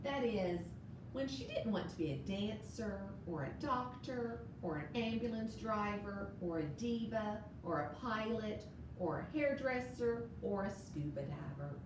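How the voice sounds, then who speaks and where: Lady doing an expressive kids story read aloud, real echoey, far from mic, noisy. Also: mid-range voice, unhurried. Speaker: storyteller for children. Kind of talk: children's picture book read-aloud. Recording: very echoey room, distant mic, noise.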